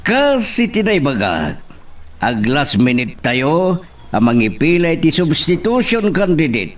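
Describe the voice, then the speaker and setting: A man with an Ilocano accent acting a scheming politician father in an audio drama, deliberate and full of pauses. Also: a little high, big pitch swings. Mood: scheming, grave. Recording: slightly distant microphone.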